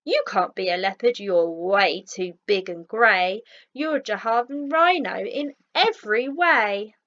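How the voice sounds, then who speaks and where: A woman's voice: medium pitch, unhurried, fairly bright tone, dull audio, close to an everyday voice. A woman voicing a grinning, frank male leopard in a children's storybook, matter-of-fact and questioning. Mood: teasing, frank.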